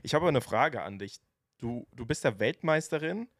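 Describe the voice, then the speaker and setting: A man co-hosting a casual podcast, asking questions in a relaxed way with some hesitant fillers. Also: medium pitch, a little fast, no room sound, studio quality. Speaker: podcast co-host.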